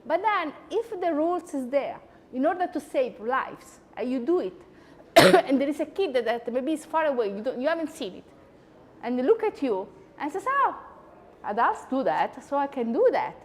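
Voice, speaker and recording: A woman with an Italian accent, hosting a talk with chatty, animated asides. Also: high-pitched, moderate pace, big-room sound. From Italy. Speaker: host. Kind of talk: lecture-style talk.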